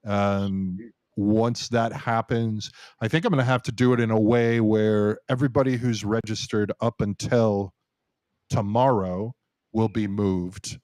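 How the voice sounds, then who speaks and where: A man's voice: lowish voice, steady tone, measured pace, a little mellow, no room sound. A man hosting a live online Q&A, loose and casual, swearing and laughing easily. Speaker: host and site founder. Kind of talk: casual live stream chat.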